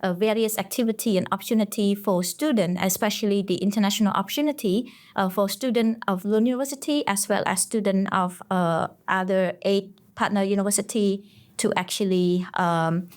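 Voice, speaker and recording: A young woman from Cambodia, a master's student guest on a podcast, answering slowly and hesitantly with many pauses. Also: medium pitch, fairly even intonation. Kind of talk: interview answers.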